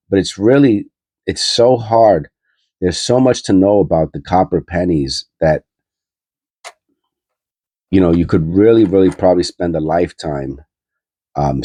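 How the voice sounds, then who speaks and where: Male host, congested and nasal, very deep and dark, husky, rambling casual coin talk. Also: no echo. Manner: rambling, filler-heavy, thinking aloud. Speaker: coin-hunting channel host. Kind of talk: casual coin roll hunting commentary.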